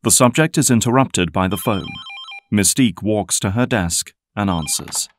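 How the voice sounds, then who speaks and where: A man's voice: lowish voice, normal speed, a little hiss or hum. A man narrating an audio drama from a script in a storytelling style.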